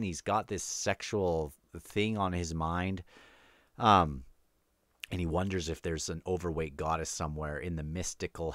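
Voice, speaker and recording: A man giving casual read-along book commentary, halting and thinking aloud as he moves between quotes and his own remarks. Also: sits low, no room sound, clean studio sound. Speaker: book discussion host.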